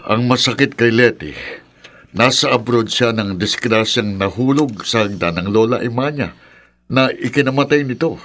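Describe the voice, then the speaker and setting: A man's voice: mid-range voice, moderate pace, fairly bright tone, close to an everyday voice. A man playing a concerned, sceptical father of grown daughters in a radio drama, questioning and reassuring. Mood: puzzled. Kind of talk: horror radio drama acting. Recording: clear background noise.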